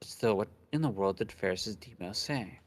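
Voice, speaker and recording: A man's voice: fairly low pitch, expressive, moderate pace. A young man casually reading visual novel narration aloud and chatting, stumbling over words now and then. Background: soft background game music.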